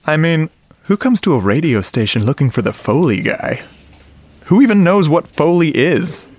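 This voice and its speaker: A man's voice: on the high side, sing-song, moderate pace, a little mellow. A man acting in a radio drama as an enthusiastic sound-effects guy, explaining things conversationally with questions to the listener. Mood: casual, eager.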